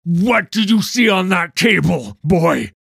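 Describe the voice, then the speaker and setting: Stern, menacing mage hunter, rough and sneering, measured pace, dry close sound, audiobook fantasy. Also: male voice, lowish voice, animated, no room sound, full character voice. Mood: furious interrogation. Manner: sneering, accusatory, forceful. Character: stern, bitter male mage hunter, grieving and menacing interrogator.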